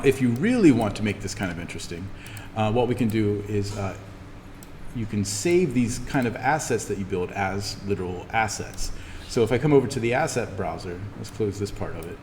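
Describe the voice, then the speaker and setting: Low-voiced man teaching in an echoey, noisy room. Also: a little mellow. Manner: halting, thinking aloud while demonstrating. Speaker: college instructor. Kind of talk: informal in-class software tutorial. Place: classroom. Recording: reverberant room, clearly noisy background.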